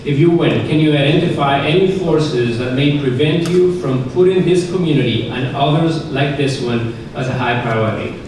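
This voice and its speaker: A man moderating a public forum, who reads out audience questions and keeps the time briskly. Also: a little high. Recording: echoey hall, far from mic, crowd noise.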